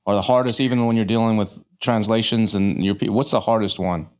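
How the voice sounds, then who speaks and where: A man's voice: fairly low pitch, steady tone, a little fast, a little mellow. A man hosting a podcast interview, asking long, rambling questions and often repeating or rephrasing them. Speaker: podcast host. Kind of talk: interview questions, casual chat.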